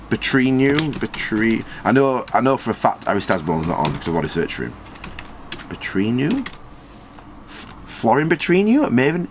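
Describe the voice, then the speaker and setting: A man, casually commenting on a football management game and reading out viewer comments in a small storeroom. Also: slight background noise. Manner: chatty, rambling, quick jumps in pitch when surprised. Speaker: gaming channel host.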